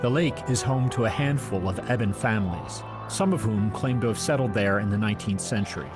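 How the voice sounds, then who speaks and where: A man's voice: on the low side, a little fast. A man reading scripted documentary narration as the voice of an audio encyclopedia. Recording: music under the narration. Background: background music.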